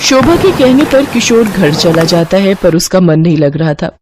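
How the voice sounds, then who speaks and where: A woman narrating a cartoon story in a steady, scripted storytelling manner. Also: fairly low pitch, moderate pace, background noise.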